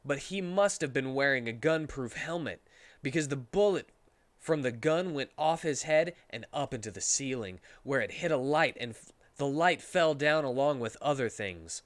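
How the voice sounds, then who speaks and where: A man's voice: medium pitch, animated, moderate pace, no echo. Man doing a dry-room comedic dramatic reading. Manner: breaks into laughter, stumbles over words. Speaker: streamer reading a story aloud.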